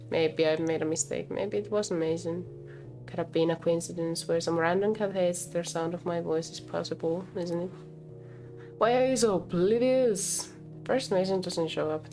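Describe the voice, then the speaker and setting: A woman's voice: fairly low pitch, gravelly, darkish tone. A woman game streamer reading a visual novel aloud expressively and breaking off to comment, with game music behind her.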